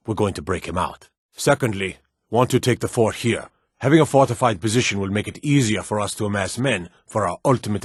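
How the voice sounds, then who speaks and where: A brusque fantasy war officer with a lowish, slightly rough voice. He delivers scripted video game briefings in a terse, commanding, businesslike way. The sound is dry and close, with little room around it. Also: no room sound.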